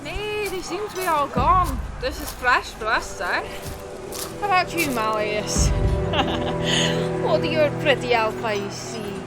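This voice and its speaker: A woman's voice: sits high, animated, slow pace. A woman playing a hardy dwarf knight in an audio drama, jaunty and teasing with comrades. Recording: very loud music behind voice.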